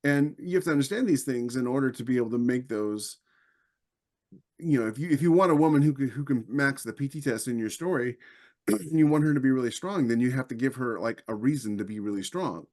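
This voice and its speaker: Halting, opinionated man chatting casually. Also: mid-range voice, a little mellow. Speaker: podcast panelist. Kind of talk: casual discussion.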